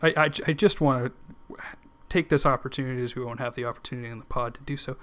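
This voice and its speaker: A man from Canada closing out a sports podcast in a loose, chatty way. He talks casually, with filler words and quick jokes. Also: medium pitch. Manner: relaxed, jokey sign-off with fillers. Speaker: podcast co-host.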